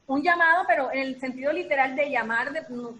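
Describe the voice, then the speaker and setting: A woman talking casually and reflectively as an artist, pausing and repeating words for emphasis. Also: sits high, bright, forceful tone, a little hiss or hum. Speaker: voice artist, founder of an artistic collective. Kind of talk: casual reflective talk in an online discussion. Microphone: video call.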